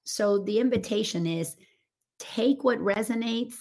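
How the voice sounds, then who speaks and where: A woman coach whose voice is raspy after laryngitis, talking earnestly and expansively over a remote call. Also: medium pitch, measured pace, darkish tone. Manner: earnest and expansive, building on images. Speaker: coach and guest. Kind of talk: casual spiritual podcast chat.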